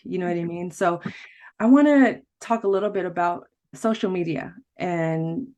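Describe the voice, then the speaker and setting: A woman's voice: fairly low pitch, normal speed, a little mellow. A woman hosting a podcast interview, talking casually and rambling a little, with restarts and frequent laughter. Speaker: podcast host.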